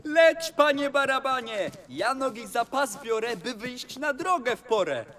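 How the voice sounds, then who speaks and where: A man playing a sly, boastful talking tomcat servant in a rhymed audio fairy tale, with theatrical, deliberate delivery. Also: extremely high pitch, lively intonation, a bit bright, heavily acted. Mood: eager, sly.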